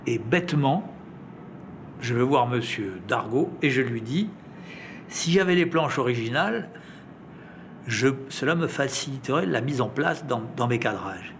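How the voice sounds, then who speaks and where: An older man of medium pitch reminisces conversationally in an interview, voicing remembered remarks. There is a faint rumbling noise in the recording. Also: expressive, a little slow. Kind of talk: conversational storytelling.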